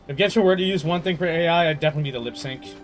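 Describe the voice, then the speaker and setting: A man's voice: fairly high pitch, rapid-fire. A young man giving casual, slangy gameplay commentary, often talking to himself as he plays. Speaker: video game streamer. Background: game music.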